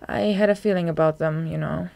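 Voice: mid-range voice, fairly even intonation, fast, creaky, a little mellow, dry recording, natural voice. Young female bat for a storybook acted by a narrator: practical, distrustful, savage under dull mutters, dry close sound. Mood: dull, vengeful.

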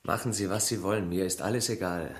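An actor playing a hot-tempered, defiant village husband who shields his wife, gruff and curt, in a German radio crime drama. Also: low-pitched, fairly even intonation, fast talker, natural voice. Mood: sullen, resigned.

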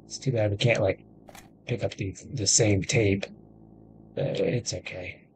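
A man in his thirties giving casual, halting gameplay commentary, thinking aloud as he plays. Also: fairly low pitch, steady tone, noisy background. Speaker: gamer recording a playthrough.

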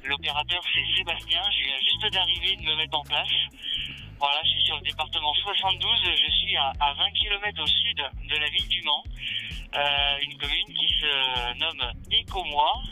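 Bright man heard over a radio. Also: a little high, moderate pace, soft background music, poor audio. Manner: reads call sign in phonetic alphabet. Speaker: ham radio operator. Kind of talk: radio call chat.

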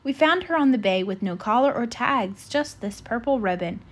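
Lady doing an excitable little boy bulldog pup from a storybook, high and lively, cutesy and soft-hearted. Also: normal speed. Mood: doting. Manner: exclaiming, cutesy baby talk. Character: eager, tender-hearted young male bulldog rescue pup.

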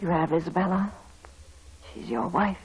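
A woman's voice: fairly low pitch, steady tone, normal speed, slightly rough. An actress playing a headstrong, passionate young married woman in a melodramatic 1940s radio style. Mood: weak, dying. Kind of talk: 1940s radio drama acting. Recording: dull old radio broadcast.

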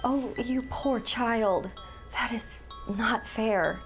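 A woman voicing an outwardly kindly but sly male baker in a storybook tale, talking smoothly and persuasively. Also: fairly high pitch, very slow, faint music behind, voice pushed up. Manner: smooth, dismissive, ominous.

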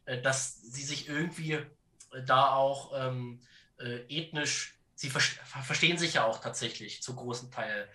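Bright-voiced man explaining haltingly. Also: medium pitch. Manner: halting, searching for words, often checks with "ja". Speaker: podcast guest. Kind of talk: conversational explaining of history and politics.

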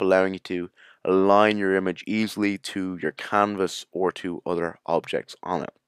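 A man's voice: deep voice, smooth, no echo, clean studio sound. A man narrating a screencast tutorial in a casual, informal way, explaining each step as he goes. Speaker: tutorial presenter.

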